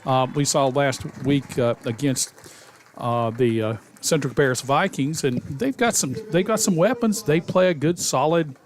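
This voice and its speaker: A man doing color commentary on a high school football radio broadcast from a stadium press box, folksy and rambling with many fillers. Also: moderate pace, slight background noise.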